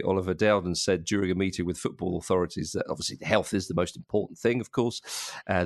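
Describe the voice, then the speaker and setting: A man's voice: low-pitched, no echo, studio quality. A man hosting a casual football chat podcast.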